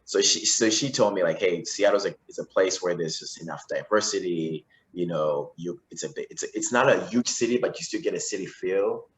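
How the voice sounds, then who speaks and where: A man's voice: low-pitched, a little fast, few pauses, a little hiss or hum. A man in his forties from Zimbabwe, a podcast guest talking casually and often restarting his sentences. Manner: rambling with frequent restarts and fillers. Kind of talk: casual interview conversation. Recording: roomy echo.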